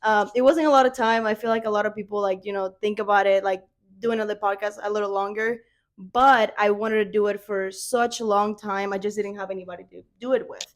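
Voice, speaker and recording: A woman's voice: medium pitch, fairly even intonation, fairly quick. A woman in her twenties from Venezuela, a native Spanish speaker, co-hosting a casual podcast chat and laughing often. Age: 20s. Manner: laughs often, chatty and playful. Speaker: podcast co-host.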